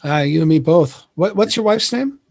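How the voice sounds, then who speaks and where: A man's voice: on the high side, lively intonation, normal speed, creaky. A man hosting a casual interview, enthusiastic and full of praise. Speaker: host.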